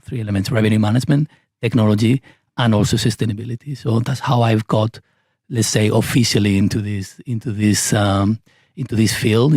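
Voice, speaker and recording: Brief: lower-pitched male guest, hesitant delivery, dry close sound. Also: a little mellow, no echo. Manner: halting, many restarts and fillers. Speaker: podcast guest, company executive. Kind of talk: casual interview chat.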